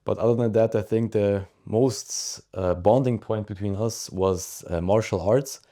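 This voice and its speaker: Young man, low mellow voice. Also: a little slow. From Austria. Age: teens to young adult. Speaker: podcast guest. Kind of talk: casual interview chat.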